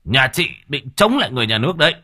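A man's voice: medium pitch, sing-song, racing, sharp tone, dry recording, studio quality, voice pushed up. A man playing a corrupt, bullying market warden who sneers and barks. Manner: sneering, barking, mocking.

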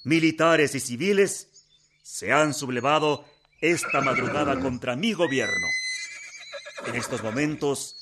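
An actor playing a solemn, composed president in a radio drama, speaking in a formal, oratorical way. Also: male voice, mid-range voice, noisy background, close to an everyday voice. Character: male president rallying loyal troops, solemn and composed. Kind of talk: Spanish-language historical radio drama acting.

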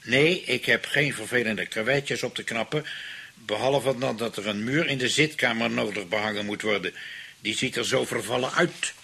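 A scheming, money-hungry husband from a radio play, in a medium-pitched voice with a sharp edge and some rasp. He is theatrical and falsely pious. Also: moderate pace, exaggerated voice. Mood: reading aloud.

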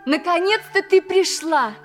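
An actress playing a vain, pampered dancer in an audio play, with an affected, languid manner. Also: female voice, extremely high pitch, unhurried, soft background music. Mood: pleased, indulgent. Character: pampered vain female dancer lounging in bath. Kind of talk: Russian audio play acting.

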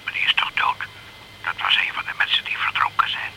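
A man's voice: fairly quick, in a whisper, close to an everyday voice. An actor playing a formal, guarded male police chief in a radio crime drama. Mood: guarded, concerned. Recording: noisy old radio play recording.

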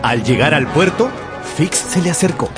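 A man's voice: on the high side, expressive, moderate pace. A man narrating a dramatized story for radio in a theatrical storytelling manner. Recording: music behind the voice.

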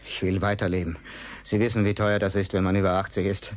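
A man's voice: sits low, level tone, quick pace, natural voice. An actor in a German radio drama playing a hunted, irritable man over eighty, urgent and stammering. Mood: desperate, confiding. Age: 80s.

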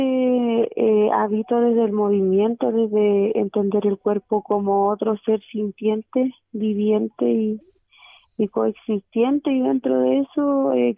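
A woman's voice: on the high side, vocal fry, no echo. A woman teacher and dancer answering radio interview questions over the phone, hesitant with many filler sounds. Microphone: telephone. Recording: tinny phone line.

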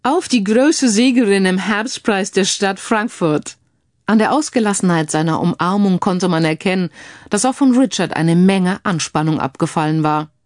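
A woman's voice: medium pitch, moderate pace, a little mellow, no echo, studio quality. A woman reading scripted narration for a crime audiobook.

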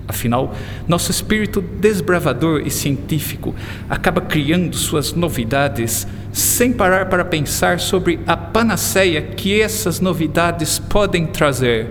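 A solemn English visionary writer. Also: male voice, a little high, in a large hall, noisy background. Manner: measured, solemn, slips into English phrases. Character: bold visionary male English novelist, grave and warning. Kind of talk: radio drama acting.

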